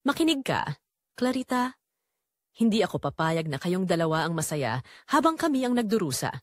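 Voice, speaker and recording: A woman's voice: on the low side, sing-song, moderate pace, no echo, studio quality. A woman voicing an angry, wounded adult daughter in an audio drama, speaking in a hard, accusing and commanding way. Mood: bitter, furious. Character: angry wounded adult daughter confronting her father's mistress. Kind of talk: dramatic audio story dialogue.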